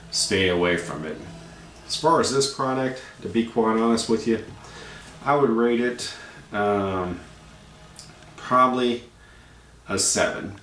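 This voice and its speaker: A man giving a casual, plain-spoken product review, explaining features step by step with hesitant pauses. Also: lowish voice, a bit bright. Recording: roomy echo, distant mic, faint noise.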